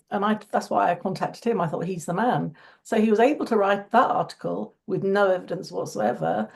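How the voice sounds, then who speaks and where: A woman speaking as an interview guest in earnest conversation, her voice croaky today and often restarting phrases. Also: mid-range voice, darkish tone. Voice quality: gravelly.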